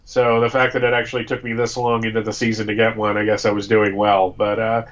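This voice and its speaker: A man's voice: on the low side, little pitch movement, fast talker, highs cut off. An American man co-hosting a casual live fan chat, his voice congested from a cold. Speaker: co-host.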